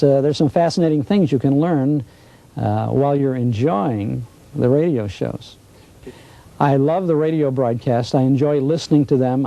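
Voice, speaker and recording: Dark-voiced, gravelly American man. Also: mid-range voice, rough recording. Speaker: radio broadcaster. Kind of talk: reflective interview talk.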